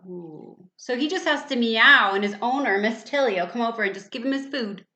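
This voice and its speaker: Bright-voiced woman reading a children's storybook aloud. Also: on the high side, roomy sound, highs cut off. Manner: expressive, pausing for questions and asides to young listeners. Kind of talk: animated storybook read-aloud to young children.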